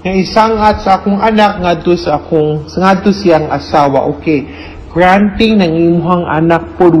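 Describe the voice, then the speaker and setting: A woman with a Cebuano Filipino accent giving legal advice as a radio host, deliberate and emphatic, mixing in English. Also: deep voice, darkish tone, dull audio, a little hiss or hum.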